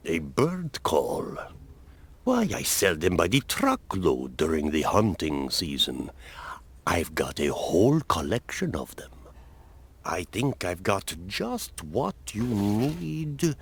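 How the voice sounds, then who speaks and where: An actor playing a genial, courteous colonel who keeps a store in a video game, hearty, courtly and unhurried. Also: male voice, lowish voice, big pitch swings, a bit bright, husky, heavily acted. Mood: genial, obliging.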